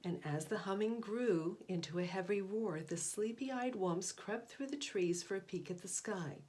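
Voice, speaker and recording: A woman's voice: medium pitch, moderate pace, a little mellow, slightly rough. A woman reading a picture book aloud to children, stressing key words expressively and speaking aside to her listeners. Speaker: volunteer picture-book reader.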